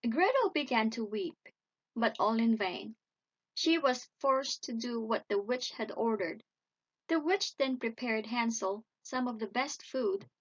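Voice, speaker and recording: A woman storyteller narrates a children's fairy tale with lively, swooping intonation and an unhurried pace. Her delivery is dramatic and measured, on a slightly dull recording. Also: fairly high pitch, slightly rough. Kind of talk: expressive read-aloud bedtime story narration.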